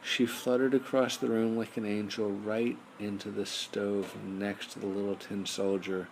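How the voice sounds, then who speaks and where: Male storybook narrator, lowish voice, measured bedtime read, amateur home recording with some noise. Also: flat delivery. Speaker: audiobook narrator.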